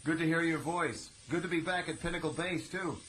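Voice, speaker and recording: An actor playing a friendly, easygoing heroic young starfighter pilot in a space-opera audio drama. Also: male voice, a little high, a little fast, fairly bright tone, a little hiss or hum, lightly acted, low-quality recording.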